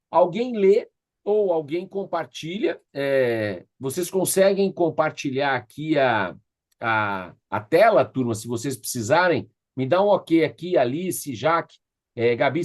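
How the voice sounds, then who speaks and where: A Brazilian man with a slightly high voice leads an online class. He gives exercise directions in an informal, conversational way. He often checks that participants are following along. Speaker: facilitator of an online leadership class. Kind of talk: informal instructional talk giving exercise directions.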